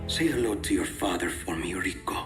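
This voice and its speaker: A smug corporate mastermind from a video game, middle-aged and suave. He talks down with theatrical mock courtesy. Loud music plays beneath him. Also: deep voice, lively intonation, brisk. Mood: smug, menacing. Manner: mock-polite, condescending, theatrical. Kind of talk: video game cutscene acting. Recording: loud music bed under the voice.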